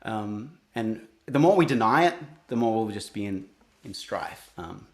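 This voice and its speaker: A man's voice: on the low side, expressive. A young adult man with an Australian accent, speaking earnestly in an interview, often restarting his sentences. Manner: earnest, halting, many restarts. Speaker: guest, singer-songwriter. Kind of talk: reflective interview talk.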